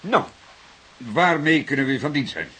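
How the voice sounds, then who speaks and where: An actor with a Dutch accent playing a seasoned, fatherly police detective who speaks deliberately and ponderously in a radio play. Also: on the high side, lively intonation, sharp tone. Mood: courteous. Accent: Dutch, from the Netherlands. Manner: deliberate, repeats others' words questioningly. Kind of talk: radio drama acting. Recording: noisy radio play with clicks.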